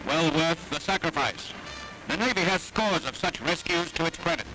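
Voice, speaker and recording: High-pitched American man, 1940s newsreel narrator. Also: moderate pace, sharp tone, low-quality recording. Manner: dramatic, declamatory. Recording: archival 1940s newsreel, music behind.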